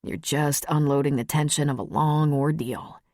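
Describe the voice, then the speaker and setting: A woman voicing a steady, protective, affectionate adult man in an audiobook. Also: low voice, moderate pace, no echo, studio quality. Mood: reassuring.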